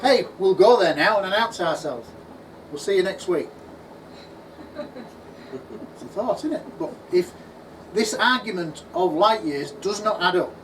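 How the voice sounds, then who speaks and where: Animated man speaking at a conference. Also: sits high. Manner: animated storytelling, acts out imagined voices. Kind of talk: conference talk to an audience. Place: pub function room. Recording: distant voice with faint room noise.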